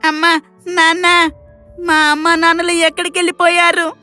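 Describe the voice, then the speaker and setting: A woman plays a small lost boy in an animated moral story. The childlike voice is high and piercing, whining and tearful, over clear background music. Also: moderate pace.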